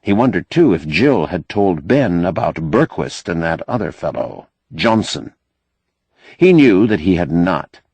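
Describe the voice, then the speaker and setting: A man reading fiction as an expressive audiobook narrator. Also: low-pitched, measured pace, husky, dry recording.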